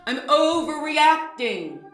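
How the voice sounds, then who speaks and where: A woman's voice: sits high, big pitch swings, takes it slowly, a bit bright, exaggerated voice. A woman voicing a haughty, sarcastic, wounded goddess of the dead in a fantasy story, with echo on her voice. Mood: hurt, indignant.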